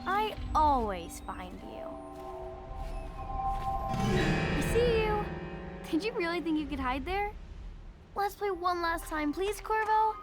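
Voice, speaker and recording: A very high, swooping little-girl voice for a video game. She is a playful, eager young princess, curious and earnest. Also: sing-song, slow, pauses often, loud music behind the voice, exaggerated voice.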